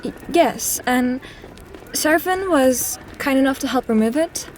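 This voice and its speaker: A woman's voice: high voice, measured pace, slight background noise. An actress playing an anxious young woman hiding a secret in a fantasy audio drama, nervous and earnest. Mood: nervous, eager.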